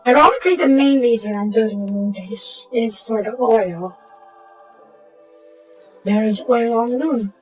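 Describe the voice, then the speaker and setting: Woman gamer with a bit of a sore throat, mumbling quietly to herself while she builds stuff, faint music under her. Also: on the high side, measured pace, long pauses, breathy edge, rough recording. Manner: muttering to herself while playing, trailing off into quiet asides. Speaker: gaming channel host. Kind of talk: casual gameplay commentary.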